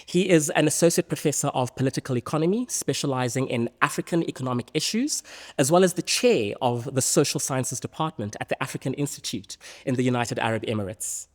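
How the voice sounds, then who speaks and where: A man's voice: mid-range voice, expressive, brisk. A man hosting an interview podcast, introducing a guest and asking questions. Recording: echoey hall with long reverb.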